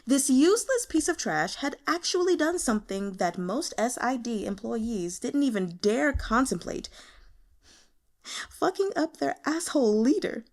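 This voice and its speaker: A woman narrates fiction in an expressive audiobook style. Her pitch is lively and varied as she reads. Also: measured pace. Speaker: audiobook reader.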